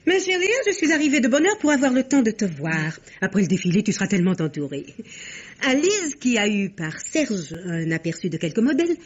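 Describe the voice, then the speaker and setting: A woman's voice: medium pitch, big pitch swings, brisk, slight background noise, close to an everyday voice. An actress playing a rich, scheming antique dealer, sweetly condescending toward her cousin in an old radio drama. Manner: sweetly condescending, smooth-talking. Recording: dull old radio archive.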